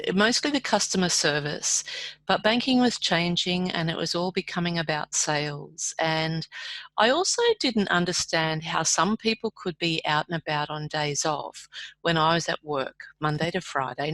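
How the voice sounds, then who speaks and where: A woman's voice: lowish voice, fairly bright tone, no room sound, poor audio. A middle-aged woman with an Australian accent, telling her personal story in a reflective, earnest, conversational way as an interview guest.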